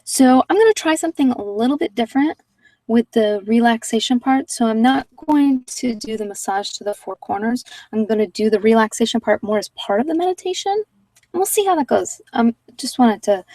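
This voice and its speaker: A soft-spoken woman chatting casually as host of a guided ASMR meditation, rambling with pauses and sometimes dropping near a whisper. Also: fairly high pitch, animated, no echo, recorded from a distance, poor audio.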